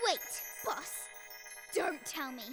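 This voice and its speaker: An inquisitive young female angel played by a young woman in a radio play. Her very high, animated voice rings in long reverb with music behind. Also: takes it slowly. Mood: curious. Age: young adult. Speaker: student actor. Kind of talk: radio drama acting.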